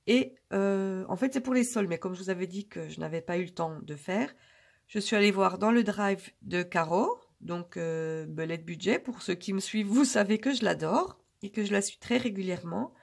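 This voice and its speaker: A woman talks to her viewers in a loose, rambling way, with lots of pauses and fillers. Her voice is hoarse from a cold and heavily gravelly with vocal fry throughout. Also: mid-range voice. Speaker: budgeting vlogger. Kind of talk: casual chat to viewers.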